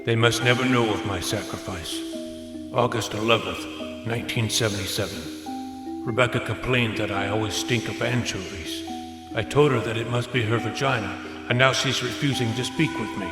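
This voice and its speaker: A man's voice: medium pitch, moderate pace, lightly acted. An actor playing a troubled, exhausted seventies family man, reading out his diary in a weary, confessional way. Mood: bitter, resigned. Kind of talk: scripted audio drama diary narration. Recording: long reverb, music underneath. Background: loud music.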